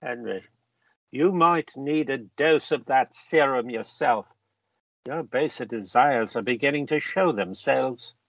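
Man acting a pompous, measured gentleman politician. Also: mid-range voice, gravelly, no echo, poor audio. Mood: firm, disapproving. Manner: pompous, measured, old-fashioned gentlemanly phrasing. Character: genteel, self-satisfied male member of Parliament, old university friend. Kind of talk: radio play acting.

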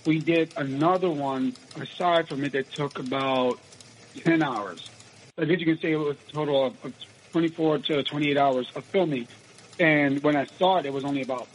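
A raspy-voiced young man from the United States, an actor answering interview questions in a casual, rambling way with many restarts. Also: on the high side, a little hiss or hum, rough recording. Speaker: actor guest.

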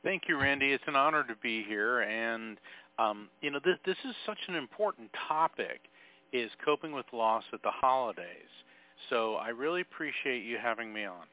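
A man talking as a guest on an interview podcast, earnest and emphatic, easing quieter when speaking about grief. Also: medium pitch, lively intonation, moderate pace. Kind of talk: interview conversation. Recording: tinny phone-like line.

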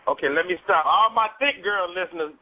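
Man in his forties, radio host, fairly high voice, enthusiastic, stumbling, tinny line. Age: 40s. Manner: enthusiastic, halting with repeated words. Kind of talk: radio interview. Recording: tinny phone-line sound.

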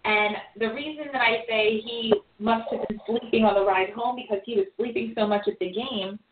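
A woman's voice: fairly high pitch, steady tone. Chatty woman host on a phone line. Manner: chatty, teasing, quick asides. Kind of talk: casual recap show with callers. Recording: echoey, tinny phone line with faint noise.

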